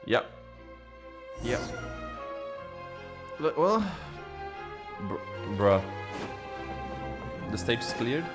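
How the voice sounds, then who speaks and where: A man's voice: mid-range voice, big pitch swings, extremely slow, pauses often, music in the background. A man doing casual, slangy game playthrough commentary, reacting and repeating himself. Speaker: streamer playing a game.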